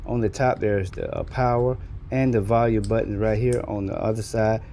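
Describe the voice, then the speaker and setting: A man's voice: lowish voice, steady tone, normal speed, dark tone, a little hiss or hum. A man giving a casual, folksy product walkthrough as a reviewer in an unboxing video.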